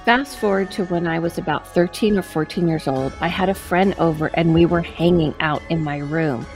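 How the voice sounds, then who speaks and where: A woman's voice: on the low side, darkish tone, soft background music. A woman in her thirties reading a paranormal story aloud, with casual asides, close to the mic in a dim room. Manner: slow, measured reading with asides. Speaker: host reading a guest's story. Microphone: headset and studio microphone close up.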